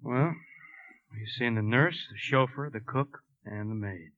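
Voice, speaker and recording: An actor playing a businesslike, polite police lieutenant questioning witnesses in a 1950s radio drama. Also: male voice, mid-range voice, dry recording, natural voice. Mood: brisk, businesslike. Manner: brisk, procedural, polite. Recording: dull lo-fi 1950s radio recording.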